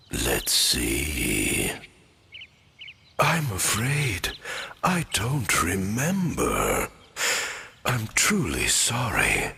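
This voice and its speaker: An ancient, gentle giant of a tree creature for a video game. The voice is bottomless and gravelly, crawling along in drowsy, halting phrases that swing widely in pitch. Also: male voice, a little hiss or hum, heavily acted. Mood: slow, apologetic. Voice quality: very deep, gravelly. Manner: slow, halting, drawn-out speech. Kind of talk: video game cutscene voice acting.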